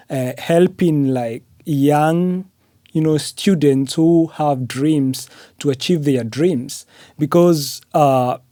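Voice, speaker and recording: A man's voice: fairly high pitch, mellow, no echo, clean studio sound. A man from Kenya with a Kenyan accent, whose first language is Swahili, speaking earnestly as a podcast guest with frequent fillers like "uh" and "you know". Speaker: podcast guest, graduate student. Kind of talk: interview conversation.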